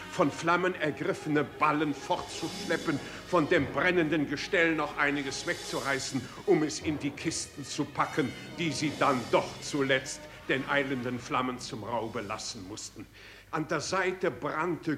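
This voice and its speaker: A man narrating literary prose in a radio drama. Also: on the high side.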